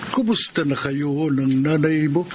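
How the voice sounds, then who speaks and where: An actor playing a gentle elderly man giving fatherly advice in a radio drama. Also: fairly high pitch, unhurried, lightly acted. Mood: gentle, concerned. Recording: clear background ambient noise.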